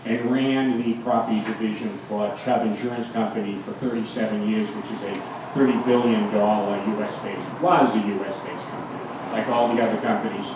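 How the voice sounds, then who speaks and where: A man in his sixties with a Boston accent gives an informal, emphatic public speech in a plain-spoken way. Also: on the low side. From United States. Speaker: guest speaker, fire protection engineer and town mayor. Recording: very echoey, distant microphone, faint noise.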